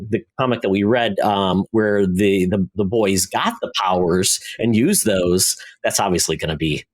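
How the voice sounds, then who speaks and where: Easygoing, slightly low-voiced male podcast co-host. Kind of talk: casual chat about TV shows.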